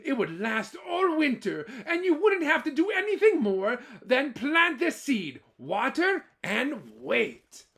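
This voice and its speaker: A man's voice: very high-pitched, huge pitch swings, slow pace, few pauses, fairly bright tone, pitched above the performer's own voice, exaggerated voice. A man voicing a small, clever leprechaun in a storybook folktale, pleading and wheedling. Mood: coaxing.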